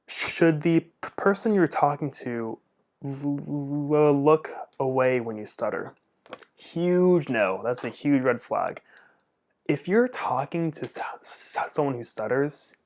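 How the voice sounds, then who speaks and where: A young man who stutters noticeably, with repeated first sounds, answering viewer questions about stuttering in a casual monologue. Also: mid-range voice.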